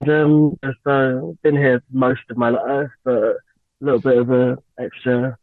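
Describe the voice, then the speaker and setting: A young woman from Lagos and London, a singer, chatting as an interview guest with frequent giggles and lots of halting fillers. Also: extremely low pitch, steady tone, no echo. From Nigeria and England. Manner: giggly, hesitant with many fillers. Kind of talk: radio interview, casual chat. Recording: tinny phone-line sound, dry.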